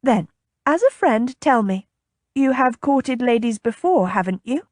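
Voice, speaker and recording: A woman's voice: fairly high pitch, expressive, unhurried, darkish tone, dry recording, clean studio sound, pitched above the performer's own voice. A woman voicing a chatty, impulsive young noblewoman in an audiobook, with a bubbly, coaxing, inquisitive manner. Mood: eager, prying. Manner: bubbly, coaxing, earnest, prying.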